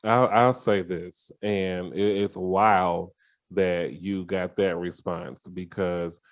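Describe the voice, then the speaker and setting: A man's voice: low-pitched, steady tone, slow. A man with an American accent chatting casually and supportively as a podcast host. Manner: supportive, agreeing. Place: studio.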